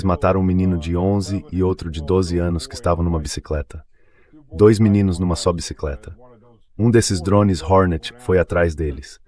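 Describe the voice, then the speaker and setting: A man voicing a dubbed translation of an interview guest, explaining things in a conversational, anecdotal way. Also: low voice.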